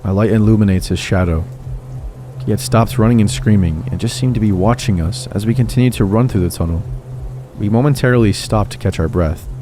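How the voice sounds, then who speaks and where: A man narrating true horror stories in a steady, low-key scripted reading. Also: fairly quick. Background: faint music.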